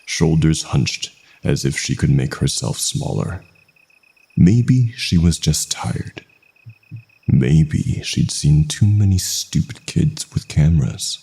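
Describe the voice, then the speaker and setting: A man's voice: extremely low pitch, vocal fry, mellow, husky. A man reading a scripted first-person horror story as its narrator.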